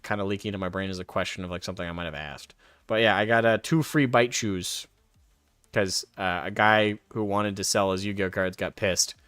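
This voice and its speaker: A man's voice: fairly low pitch, a bit bright, no room sound, studio quality. A man streaming casually, reading card text aloud and drifting into rambling personal stories. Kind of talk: casual live stream chat.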